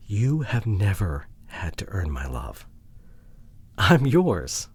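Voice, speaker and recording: Breathy, emotional boyfriend acted by a man, swinging pitch. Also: huge pitch swings, no echo, exaggerated voice. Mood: pleading, distressed. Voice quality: breathy, often dropping to whispers. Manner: emotional, earnest, halting with sighs. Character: remorseful, devoted boyfriend pleading to repair relationship. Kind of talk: intimate ASMR boyfriend roleplay audio drama.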